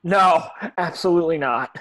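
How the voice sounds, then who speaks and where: A man's voice: a little high, normal speed, a bit bright, lightly acted. A man playing a stubborn, protective teenage boy wizard hero in a table read over a video call. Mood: protesting.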